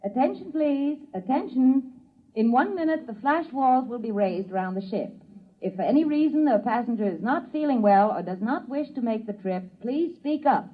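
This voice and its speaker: Space-liner stewardess, higher mellow female voice, formal, efficient, old radio drama, dull recording. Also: expressive, normal speed. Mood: formal announcing. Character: brisk, polite female stewardess on a moon rocket. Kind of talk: 1950s radio acting.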